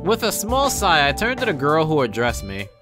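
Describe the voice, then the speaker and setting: A man's voice: fairly high pitch, wildly swooping pitch, moderate pace. A young man doing casual gameplay commentary, reading story text aloud and reacting informally. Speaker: let's player. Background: game music.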